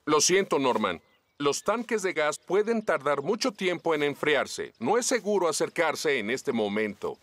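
A man with a Latin American Spanish accent voicing a calm, capable, kindly firefighter in a children's cartoon dub, giving clear, reassuring instructions. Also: a little high, unhurried, no room sound. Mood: calm, advising. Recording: loud music under the dialogue.

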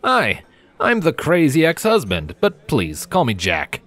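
A man's voice: a little high, wildly swooping pitch, unhurried, fairly bright tone, pitched above the performer's own voice, heavily acted. A man voicing a genial, teasing middle-aged male scientist in a story. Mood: genial, playful. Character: genial greying male astrophysicist, playful.

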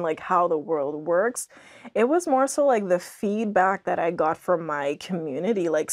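A young woman from the Bahamas talking casually and reflectively as an interview guest, often saying "like" as she explains. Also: on the low side. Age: young adult. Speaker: podcast guest, author. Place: indoor podcast studio, seated in armchair.

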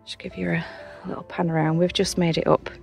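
A young woman hosts an exploration vlog. Her voice is lowish and mellow, with a gravelly edge. She moves between relaxed, filler-laden chat and read narration. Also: fast, faint music behind. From United Kingdom. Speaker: urban exploration vlogger.

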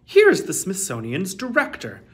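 A man in his thirties reading a children's picture book aloud as a storytime host, with deliberate, emphatic phrasing. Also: sits high, huge pitch swings, a bit bright.

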